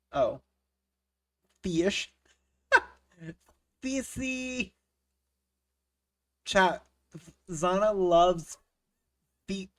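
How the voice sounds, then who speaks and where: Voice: mid-range voice, dry recording, recorded from a distance. Casting note: sniffly, cold-stricken game streamer, crude and playful with chat, mid-range voice, recorded a little off-mic. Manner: profane, joking, laughs often, reads chat aloud. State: getting over a cold, sniffly. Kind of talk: casual live game-stream chat with viewers.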